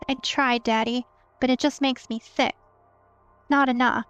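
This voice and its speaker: Audio drama role: actress as meek, childlike vampire daughter, high-pitched and plaintive, muffled lo-fi sound over music. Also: female voice, fairly even intonation, measured pace, a bit bright, clean tone. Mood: meek, hungry. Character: hungry, meek young vampire daughter, obedient and clinging. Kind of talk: dramatic audio theatre acting.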